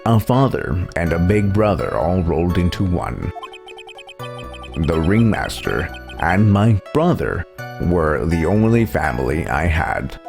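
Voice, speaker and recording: A man voicing an earnest, gentle young circus acrobat in a video game, telling his past sincerely and with measured delivery. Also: deep voice, sing-song, creaky, music in the background, exaggerated voice. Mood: fond, nostalgic. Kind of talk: reading game dialogue in character.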